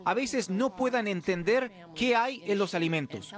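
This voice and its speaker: A man's voice: high-pitched, normal speed. A man working as a TV news correspondent, narrating a scripted report.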